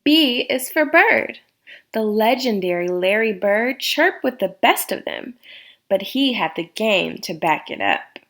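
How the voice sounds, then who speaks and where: A woman reading a children's picture book aloud in deliberate, separated phrases. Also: medium pitch, expressive, fairly bright tone.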